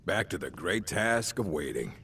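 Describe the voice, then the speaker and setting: A man playing a gruff, proud orc warrior in a fantasy video game, gravelly and blunt, speaking in solemn, terse lines. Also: deep voice, very animated, moderate pace, a bit bright, full character voice. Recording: game music behind the voice.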